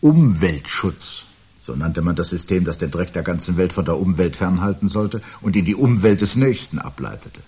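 Deep, husky, bitterly weary husband. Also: male voice, lively intonation, warm tone, full character voice. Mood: bitter irony. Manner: deliberate, sardonic, rhetorical. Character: weary, bitter, sardonic husband awaiting catastrophe. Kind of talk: 1970s German radio drama acting.

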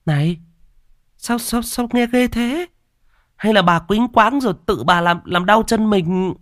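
A man's voice: sits high, unhurried, a bit bright, no room sound, clean studio sound, pitched above the performer's own voice. A man voicing a nosy village woman who stammers out her doubts. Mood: doubtful.

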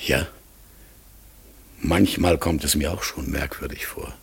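An actor playing a talking raven in a radio play, polite, forgetful and melancholy, with a hoarse, breathy voice and a halting, searching way of speaking. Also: male voice, extremely low pitch, fairly even intonation, heavily acted. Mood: searching, wistful. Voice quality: hoarse, gravelly, breathy, often dropping to a whisper. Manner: slow, halting, earnest, searching for words. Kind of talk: classic German radio play acting.

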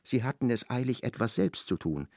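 A man narrates a literary radio play with ironic expressiveness. His mid-pitched voice is gravelly and highly animated, recorded dry and close. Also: fairly quick, no room sound.

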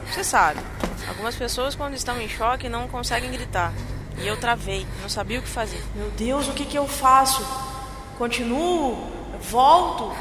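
A woman's voice: fairly high pitch, close to an everyday voice. An actress playing a tired, fearful woman, narrating a horror audio drama in an apprehensive, hesitant, dramatic manner. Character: tired, fearful woman recounting a nighttime attack. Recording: noisy urban street ambience with traffic.

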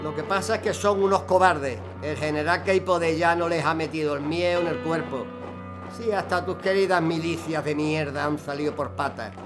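A man playing a stern, contemptuous father who shouts insults in a radio drama. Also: fairly high pitch, fairly even intonation, normal speed, music in the background, natural voice. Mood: angry. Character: stern authoritarian father Francisco.

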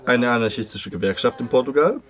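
Mid-pitched man reading interview questions. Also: fast. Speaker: interviewer's voice-over reader. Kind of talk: read voice-over of interview questions.

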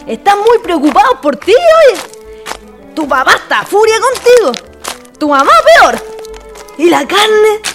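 A woman's voice: very high voice, very animated, a little slow, pauses often, music in the background, full character voice. A woman playing a worried, scolding young sweetheart with a Chilean accent in a radio drama. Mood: alarmed.

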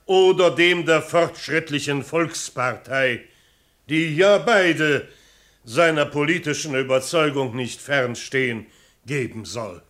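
An actor in a 1970s German radio play, playing a formal, ponderous chairman with a measured way of addressing a meeting. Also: on the high side, a bit bright.

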